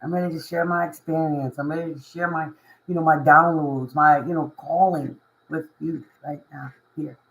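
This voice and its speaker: A woman with a hoarse, raspy voice, talking to camera as a spiritual reader and life coach in a casual motivational monologue, emphatic and repetitive, often asking "you know what I mean". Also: sits low, fairly even intonation, moderate pace, a bit bright, a little hiss or hum, low-quality recording.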